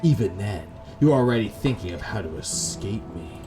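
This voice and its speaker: Obsessive, lovesick young woman acted by a man, intense and clingy, low-set voice with lively swings, gothic horror game. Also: normal speed, over music, natural voice. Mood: hurt, possessive. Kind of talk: gothic horror visual novel dialogue.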